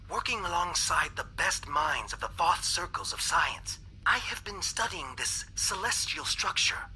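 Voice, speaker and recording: An actor playing a wary alien scientist who reaches out in an urgent, earnest, measured message, in game dialogue. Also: male voice, on the high side, bright, forceful tone, slight background noise, exaggerated voice, poor audio. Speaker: Voth archaeologist sending a message.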